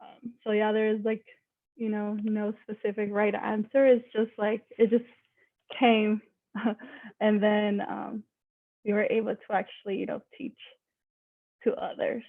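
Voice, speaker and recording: A woman's voice: medium pitch, level tone, a little slow, pauses often, dry recording. A woman from Mexico, a native Spanish speaker, speaking casually as a math teacher on a panel, with many likes and ums. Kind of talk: panel discussion. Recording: tinny dry phone-like line.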